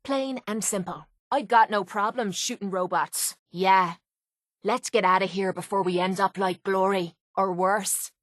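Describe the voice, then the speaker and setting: Video game companion: actress as a young Irish woman brawler, blunt, sarcastic, hard-drinking, mid-pitched, recorded close and dry. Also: steady tone, a little slow, no room sound, natural voice. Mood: blunt, mocking. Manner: blunt, mocking, scrappy.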